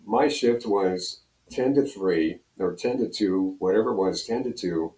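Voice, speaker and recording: An older American man with a low, mellow voice reminisces as a guest in a casual interview. He wanders through his anecdotes, and the sound is roomy. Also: measured pace. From Pennsylvania, United States. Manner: rambling, anecdotal storytelling. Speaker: guest, retired radio personality. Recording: roomy echo, distant from mic.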